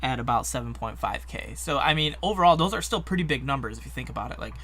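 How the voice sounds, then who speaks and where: A man co-hosting a casual gaming podcast, chatty and lively, with lots of likes and ums. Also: mid-range voice, quick pace. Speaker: co-host.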